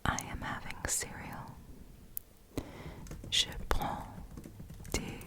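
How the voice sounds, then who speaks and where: Casting: young female ASMR host, hushed whisper, slow relaxed delivery, thinks aloud. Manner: soft, unhurried whisper, musing aloud and laughing at her own mistakes. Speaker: ASMR creator. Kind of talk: whispered ASMR while doing language practice.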